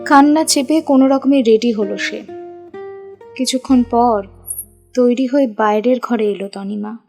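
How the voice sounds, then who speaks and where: A woman reads scripted narration for an audio story. Her voice sits a little high, with light music playing behind her. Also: a little slow, mellow.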